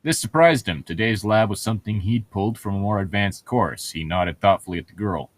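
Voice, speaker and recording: A man's voice: lowish voice, brisk, no room sound. A man co-hosting a casual read-aloud, throwing in sarcastic asides and laughing often. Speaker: co-host of a humorous read-aloud commentary.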